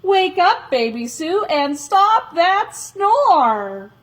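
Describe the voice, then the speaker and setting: A woman voicing a sleepless, insistent boy in a children's storybook, calling out in loud, commanding, drawn-out phrases. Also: very high voice, sing-song, pitched above the performer's own voice, exaggerated voice. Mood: insistent, exasperated.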